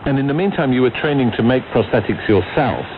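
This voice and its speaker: A man's voice: medium pitch, expressive, fast. A man working as a radio presenter, putting interview questions and reading scripted linking narration, received over shortwave. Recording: muffled, noisy shortwave radio reception. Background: clear radio static and noise.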